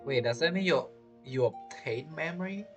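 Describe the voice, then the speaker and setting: A man's voice: a little high, expressive, moderate pace. A man giving casual, informal gameplay commentary as a streamer, with non-native grammar. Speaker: streamer, gamer. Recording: game music behind the voice.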